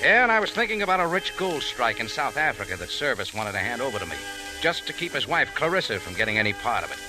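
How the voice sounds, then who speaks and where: An actor playing a tough American adventurer and narrator in a wry, terse 1940s radio style. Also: male voice, medium pitch, big pitch swings, fast, over music, close to an everyday voice, rough recording. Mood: narrating. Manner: wry, terse, hard-boiled delivery. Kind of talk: 1940s hard-boiled radio acting.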